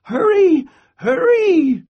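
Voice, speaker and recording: A man's voice: extremely high pitch, sing-song, extremely slow, highs cut off, voice pushed up, full character voice. A man voicing an old grandmother squirrel in a folk tale, calling out insistently and protectively. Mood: urgent.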